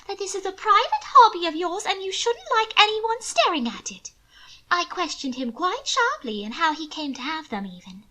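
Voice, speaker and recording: Brief: woman putting on a high, shrill society lady in a storybook, fretful and prone to tears, voice swooping wildly. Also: pushed and bright, exaggerated voice, poor audio. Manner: shrill, breathy, theatrical. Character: fussy, indignant young noblewoman sister.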